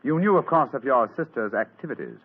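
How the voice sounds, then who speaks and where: An actor playing a shrewd, courteous British gentleman detective in a polished, theatrical old radio drama style. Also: medium pitch, big pitch swings, fairly quick, husky. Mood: stern. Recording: muffled, lo-fi vintage radio sound.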